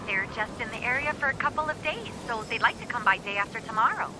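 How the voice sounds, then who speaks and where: A game's phone call with clear background noise carries a woman realtor. Her voice is fairly high, and she is familiar, persistent and persuasive. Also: fast. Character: pushy, familiar female real estate agent.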